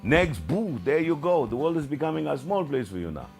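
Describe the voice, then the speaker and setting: A man's voice: fairly high pitch, sing-song, a little fast, creaky, a little mellow. A man hosting a TV studio music session, asking questions in an easygoing, friendly manner. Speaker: interviewer host. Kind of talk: casual interview chat.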